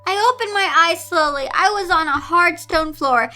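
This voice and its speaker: A woman's voice: very high voice, normal speed, sharp tone, soft background music, pitched above the performer's own voice. A woman reading a story aloud as a depressed, sarcastic young girl narrating her own misery. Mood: miserable. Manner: self-pitying, sarcastic. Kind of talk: amateur dramatic story reading.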